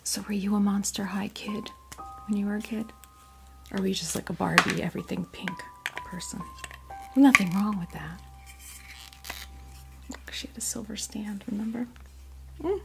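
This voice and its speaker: A woman chatting in a breathy, creaky whisper for an ASMR unboxing video, rambling hesitantly through little asides as the host. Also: medium pitch, moderate pace, pauses often. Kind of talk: ASMR, soft rambling chat. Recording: music playing behind the voice. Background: clear background music.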